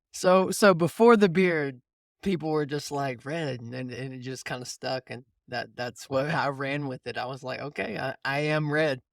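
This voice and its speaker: A man's voice: medium pitch, measured pace, no room sound, studio quality. A man hosting a casual coaching conversation, explaining things informally and often rephrasing as he goes. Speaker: podcast host and mindset coach.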